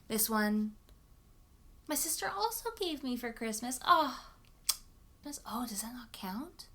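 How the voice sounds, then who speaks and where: A young woman talking casually to camera as a book vlogger, in an expressive, informal way and often correcting herself. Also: on the high side, a little slow. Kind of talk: casual chat to camera about books.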